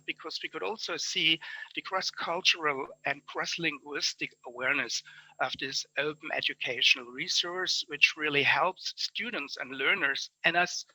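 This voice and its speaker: A German man with a German accent recaps an online conference in eager, piled-up phrases. His voice sits slightly high and rings very bright. The sound is dry and close, with no room around it. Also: no room sound, rough recording. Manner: enthusiastic, lists things in chains of near-synonyms. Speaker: conference speaker summing up presentations. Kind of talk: spoken conference summary. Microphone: online conference call.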